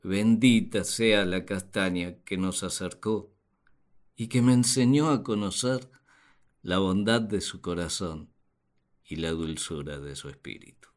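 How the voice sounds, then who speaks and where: An actor playing a naive, puzzled husband and father in a radio play, speaking in a deliberate, theatrical way. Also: low voice. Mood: reflective, tender. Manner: deliberate, theatrical, expressive delivery. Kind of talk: radio play monologue, dramatized diary.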